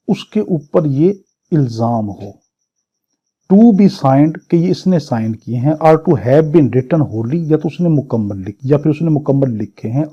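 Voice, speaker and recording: A man's voice: a little high, warm tone, slightly rough. A man with a Pakistani accent teaching law as an exam-prep lecture, reading out English statute phrases and explaining each one point by point. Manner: didactic, repeats and rephrases point by point. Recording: dull, muffled lo-fi audio.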